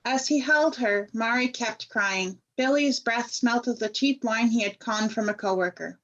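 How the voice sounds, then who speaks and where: An actress playing a grave storyteller, giving composed, literary narration for a radio play on a slightly dull recording. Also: female voice, a little high, unhurried, a bit bright. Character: grave female storyteller narrator of a family drama. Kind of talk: radio play third-person scripted narration.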